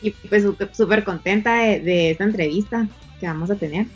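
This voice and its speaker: A cheerful woman co-host with a slightly breathy voice. Her sound is dull and lo-fi, with faint music behind it. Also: medium pitch, brisk.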